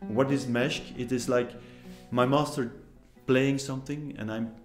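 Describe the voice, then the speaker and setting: A man's voice: mid-range voice, fairly even intonation, unhurried. A man in his thirties whose first language is Dutch, speaking thoughtfully in a documentary interview and searching for words as he goes. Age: 30s. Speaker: oud player. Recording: music playing behind.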